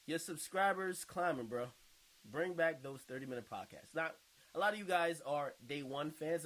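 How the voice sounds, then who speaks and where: A man's voice: a little high, fairly bright tone, rough recording. A man hosting a casual online video, reading out and reacting to viewer comments in an informal, slangy way with some swearing. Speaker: online video host and podcaster.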